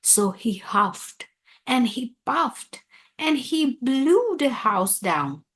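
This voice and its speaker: A woman storyteller reads aloud for young children at a very unhurried pace. Her voice is somewhat high and rises and falls in animated swoops, giving the scripted tale a deliberate, expressive shape.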